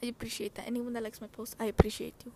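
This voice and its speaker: A woman's voice: on the high side, little pitch movement, racing. A young adult woman chatting casually as a podcast host in a scattered, slangy way, often interrupting herself. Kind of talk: casual rambling personal podcast chat. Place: outdoors with wind and traffic. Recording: windy outdoor noise, passing cars. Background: wind, cars, ambient noise.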